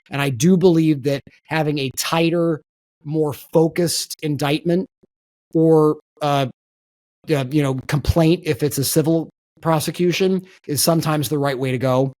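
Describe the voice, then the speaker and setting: A man's voice: on the high side, a little mellow. A man hosting a political podcast, talking in an emphatic conversational way and repeating words as he restarts. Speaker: podcast host.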